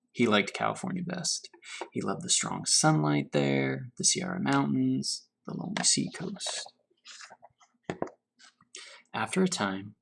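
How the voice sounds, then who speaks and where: Animated male teacher reading a storybook aloud. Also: medium pitch, gravelly, a little hiss or hum, low-quality recording. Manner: pauses to pose questions to young listeners. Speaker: teacher reading to fourth graders. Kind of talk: read-aloud storybook narration with questions to children.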